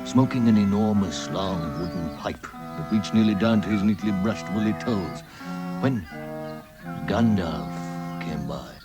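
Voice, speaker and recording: An actor playing a wry, deliberate storybook narrator, in a vintage radio drama. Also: male voice, low-pitched, lively intonation. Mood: storytelling. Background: music.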